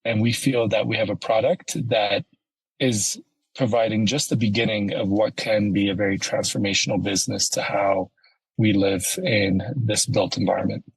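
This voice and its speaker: A man from Ethiopia, a company founder, answering interview questions in a measured, explanatory way. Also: fairly low pitch, steady tone, creaky, breathy edge, no echo, poor audio. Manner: measured, explanatory, uses "you know" and "right?". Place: home office in Ethiopia, remote call.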